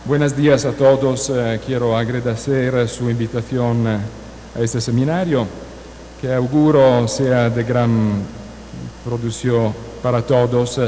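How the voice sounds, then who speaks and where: A man with an Italian accent, a guest lecturer, greeting the audience in halting speech full of fillers. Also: mid-range voice, steady tone, warm tone. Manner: slow, halting, with many fillers. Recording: echoey hall with faint noise.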